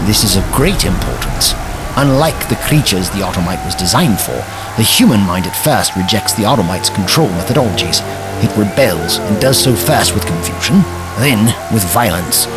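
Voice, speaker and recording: A man acting grave learned alien time traveler, low voice, formal lecturing manner, sci-fi audio drama, loud music behind. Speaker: lead actor in an audio drama.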